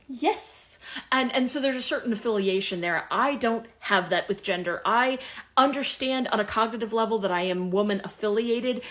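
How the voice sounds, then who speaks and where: A woman with a mid-pitched voice explains her own view. She is articulate and analytical, choosing careful, slightly academic words as she goes. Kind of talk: explanatory personal monologue.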